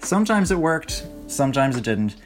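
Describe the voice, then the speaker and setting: Young male podcast host, hoarse, chatty and rambling, mid-range voice, light music bed. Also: medium pitch, very animated, moderate pace. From Ireland. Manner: rambling, self-correcting, chatty. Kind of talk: casual solo podcast chat.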